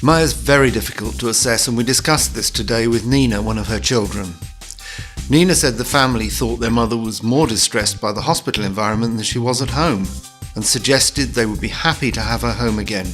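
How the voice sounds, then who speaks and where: A man's voice: medium pitch, brisk, a bit bright, natural voice. A busy hospital psychiatrist played by a man talks in a very reverberant room with faint music. He is polite and apologetic, placating people hesitantly, as in a training film scene. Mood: concerned, measured. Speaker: doctor.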